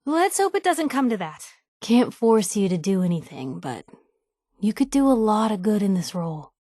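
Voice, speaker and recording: A woman's voice: medium pitch, very animated, moderate pace, warm tone, no echo, clean studio sound. An actress playing a wry, plucky young woman reporter, an outspoken and loyal video game companion with a sardonic, conversational delivery. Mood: pleading, urging.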